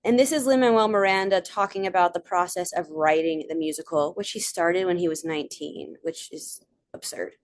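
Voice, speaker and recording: A woman's voice: fairly low pitch, moderate pace. A young adult woman giving a casual online presentation, chatty, with frequent fillers and asides. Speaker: presenter of a theater talk.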